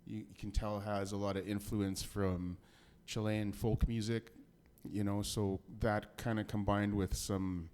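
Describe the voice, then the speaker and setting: A low-voiced man talks haltingly, with plenty of fillers between thoughts. He chats as a band member on a podcast. Faint room noise sits behind him. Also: level tone, darkish tone. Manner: hesitant, lots of fillers. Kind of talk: casual podcast chat.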